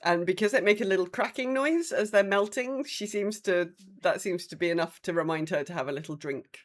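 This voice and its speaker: A woman's voice: lowish voice, a little fast. A British woman co-hosting a podcast with casual, wry, rambling chat. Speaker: podcast co-host.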